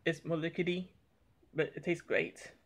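A young man talking plainly and a little haltingly as he reviews eggnog on a home vlog, filmed by a camera on the table in a small room. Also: a little high, level tone. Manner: plain, unhurried, slightly halting talk. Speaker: product reviewer. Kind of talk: casual taste-test vlog. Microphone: camera on table in front.

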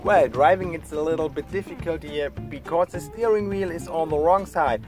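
A man's voice: fairly high pitch, normal speed. A man in his forties, an upbeat travel vlogger talking to the camera at arm's length, with slightly non-native phrasing. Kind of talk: casual vlog to camera. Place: in a car, then outdoors in the sea. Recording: loud background music over voice. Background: loud music.

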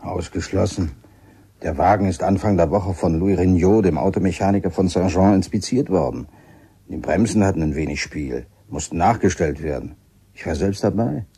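A man acting a brisk, courteous leader of a cave expedition in a radio drama. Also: sits low, warm tone, slightly rough, heavily acted. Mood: serious.

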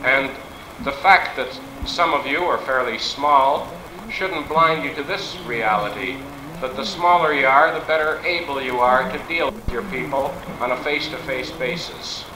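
A man giving a measured, articulate speech at a business meeting, on 1960s reel-to-reel tape. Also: medium pitch, echoey room, noisy background. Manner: measured, articulate, formal wording. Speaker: business speaker. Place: meeting room.

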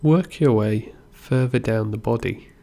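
A man gently guiding a meditation as an instructor, in an unhurried way with pauses between instructions. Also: mid-range voice. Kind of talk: guided body scan meditation narration.

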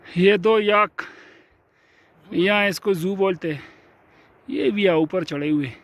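A man's voice: high voice, slow, fairly bright tone, no room sound. A man vlogging a mountain hike outdoors, chatting casually and pointing out what he sees along the trail. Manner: chatty, pointing things out as he walks. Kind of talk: casual hiking narration to camera. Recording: outdoor wind noise on a phone mic.

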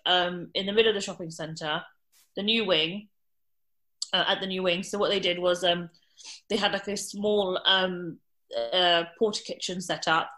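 Bright-voiced woman telling a lively story. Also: lowish voice. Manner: animated anecdote-telling with many fillers. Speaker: restaurant owner guest. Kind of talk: podcast interview storytelling.

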